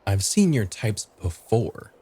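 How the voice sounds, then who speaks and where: A man's voice: on the low side, big pitch swings, slow, mellow. A man playing a tabletop roleplaying game, narrating his character's actions from inside the role. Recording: music clearly playing behind the voice. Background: background music.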